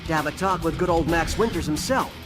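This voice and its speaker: Man as a high-voiced brainy turtle inventor. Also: fast talker, lightly acted. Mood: dramatic, eager. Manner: explanatory, nerdy. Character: brainy, eager teenage male turtle inventor. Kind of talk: video game cutscene narration. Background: music.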